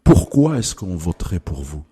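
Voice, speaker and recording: A man's voice: deep voice, very animated, normal speed, warm tone, husky, big-room sound. A man hosting a formal radio interview, announcing the guest's name and rank before each question.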